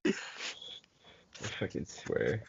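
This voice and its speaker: A young man chatting casually and profanely with friends. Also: extremely slow. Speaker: member of amateur reading group. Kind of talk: casual chat among friends. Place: at home on group voice call. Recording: muffled, noisy voice chat with clicks.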